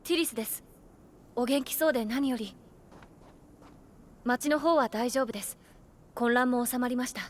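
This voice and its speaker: An actress playing a composed, gentle young female healer, speaking formally and courteously in an anime game style. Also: a little high, normal speed, pauses often, slight background noise, lightly acted. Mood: calm, reassuring.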